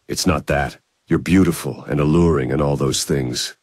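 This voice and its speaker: A man voices a steady fantasy hero in a video game, protective and earnest. His voice is exceptionally deep and husky. The sound is dry, with measured, intimate delivery. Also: dry recording, full character voice. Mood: caring.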